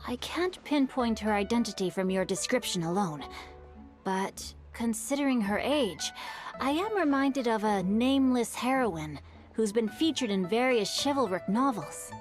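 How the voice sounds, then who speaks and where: A woman voicing a courteous, well-read young male swordsman who tells an old tale in a measured way, in video game dialogue. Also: fairly high pitch, expressive, soft background music, close to an everyday voice. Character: bookish young male swordsman, polite and thoughtful.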